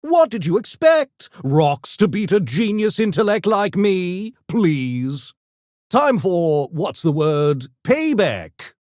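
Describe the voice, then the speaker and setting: An actor voicing a smug, erudite genius gremlin for a video game, with pompous, mock-genteel, theatrical diction that tips into gleeful mania. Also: male voice, high-pitched, big pitch swings, takes it slowly, a little mellow, dry recording, clean studio sound, heavily acted. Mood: smug, taunting. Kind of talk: video game character voice lines.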